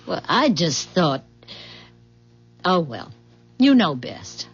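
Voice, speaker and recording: An actress playing a curious, friendly housewife in a 1970s radio drama, speaking in a casual, tentative way. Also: female voice, on the low side, big pitch swings, takes it slowly, husky, soft background music, heavily acted. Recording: dull vintage 1970s radio recording.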